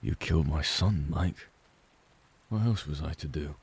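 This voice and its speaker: A man reading a story, voicing a raspy, menacing middle-aged father who taunts his son in a cold, sneering way. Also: a bass voice, a little slow, a little mellow, exaggerated voice. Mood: mocking. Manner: taunting, sneering, drops to whispers.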